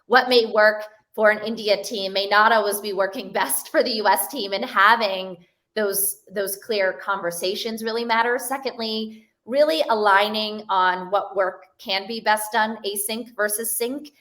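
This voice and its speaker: A woman's voice: mid-range voice, steady tone, measured pace, fairly bright tone. A woman in her forties speaking on a panel over a video call, laying out points in a structured, anecdotal way. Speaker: panelist, collaboration expert. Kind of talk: panel discussion.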